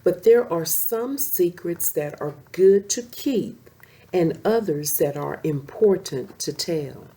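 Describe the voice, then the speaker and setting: Storybook lioness mother, read by a woman: wise, caring, patient, mellow, slightly creaky. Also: lowish voice, expressive, slow.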